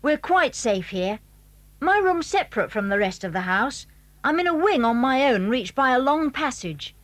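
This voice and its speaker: Posh-ish british schoolboy, secretive and eager, really bouncy high voice, kids audio play. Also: female voice, sing-song, normal speed. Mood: brisk, excited. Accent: British English. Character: clever, secretive schoolboy showing friends his house. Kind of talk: 1980s children's audio drama acting.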